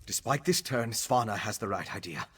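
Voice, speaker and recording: A man playing a level-headed adviser in a fantasy video game, speaking in a measured, deliberate manner. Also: lowish voice, expressive, fairly bright tone, husky. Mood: focused.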